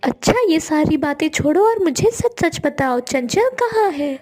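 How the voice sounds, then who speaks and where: Very high-voiced, gentle young stepmother played by a woman. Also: animated, unhurried, slight background noise. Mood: concerned. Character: gentle, forgiving young stepmother, pleading for peace. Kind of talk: dramatized cartoon moral story.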